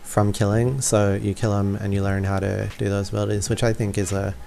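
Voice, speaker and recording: A man's voice: on the low side, little pitch movement, brisk, creaky, dark tone. A man giving a casual, informal gameplay walkthrough, thinking aloud hesitantly as he plays. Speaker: gaming video creator. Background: faint game music.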